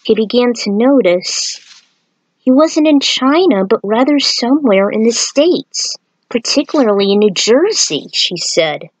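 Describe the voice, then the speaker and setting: A woman's voice: a little high, expressive, measured pace, no room sound, low-quality recording. A woman reading her own fan fiction aloud as an amateur narrator and adding author's notes. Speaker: fan fiction writer and podfic reader.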